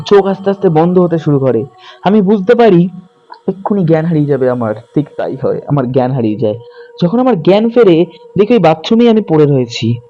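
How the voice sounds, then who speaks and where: A young man narrates a first-person ghost story in a suspenseful, conversational way, pausing for drama. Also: high-pitched, lo-fi sound. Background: background music.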